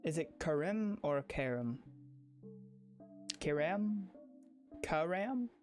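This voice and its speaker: A livestreamer talks over background music at a middling pitch. The delivery is casual and opinionated, circling back on phrases and restarting thoughts in a loose, rambling way. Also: expressive, takes it slowly, a little mellow.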